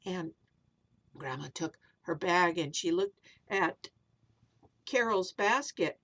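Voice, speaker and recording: A woman's voice: fairly low pitch, expressive, slow, fairly bright tone. A woman storyteller narrating for young children in simple, repetitive phrases, with little explanatory asides.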